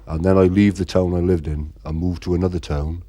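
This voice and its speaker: A man's voice: sits low, steady tone, fairly quick, mellow. A middle-aged man from Ireland, the band's frontman, talking in a casual interview and rambling with constant "you know" fillers. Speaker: band frontman.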